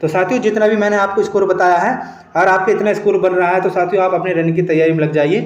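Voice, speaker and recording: High-pitched man explaining, echoey lo-fi. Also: fairly even intonation, fast talker. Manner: repeatedly addresses listeners as friends, repeats points for emphasis. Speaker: host of an exam coaching channel. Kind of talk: explanatory exam-update presentation. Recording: dull, lo-fi, echoey room recording.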